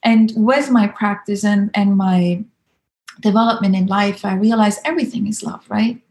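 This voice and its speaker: A woman's voice: medium pitch, normal speed, a little mellow. A woman in her thirties from Switzerland with a Swiss German accent, explaining ideas in conversation and sometimes searching for words.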